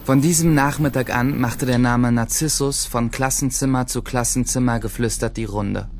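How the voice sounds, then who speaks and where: An actor playing a secretive, restless teenage schoolboy, narrating in clipped sentences in a radio play. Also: male voice, mid-range voice, steady tone, moderate pace, a little hiss or hum, natural voice. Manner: terse, clipped. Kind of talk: first-person radio drama narration.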